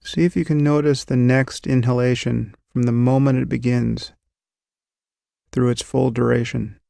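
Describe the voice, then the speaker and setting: A man calmly guiding a meditation, giving slow, gentle instructions about breath and sensation. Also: mid-range voice, gravelly, dry recording, studio quality. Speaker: meditation guide. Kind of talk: guided meditation.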